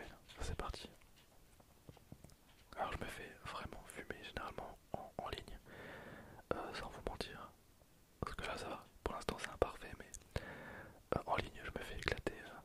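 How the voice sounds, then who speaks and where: A man whispering casual, breathy ASMR commentary over gameplay in an informal way. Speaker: gaming video creator.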